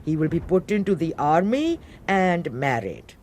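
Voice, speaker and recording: A woman's voice: low-pitched, sing-song, unhurried, a little mellow, a little hiss or hum. An actress playing a sly, gossiping servant woman who mocks and teases in a radio drama. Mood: teasing. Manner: mocking, gossipy.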